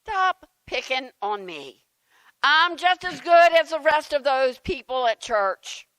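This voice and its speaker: High, animated, defensive churchgoer played by a woman. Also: slow pace, no echo, exaggerated voice, poor audio. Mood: indignant. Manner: animated, indignant, exclaiming. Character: defensive, flustered churchgoing woman praying to God. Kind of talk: dramatic reading of a comic church sketch.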